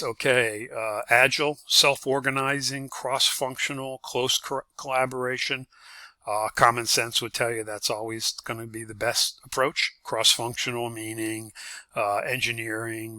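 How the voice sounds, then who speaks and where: A man teaches in an informal lecture style, working through his points with a halting delivery. His voice is mid-pitched, with a strikingly bright, forceful edge. The sound is dry, with hardly any room around it. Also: no room sound. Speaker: instructor.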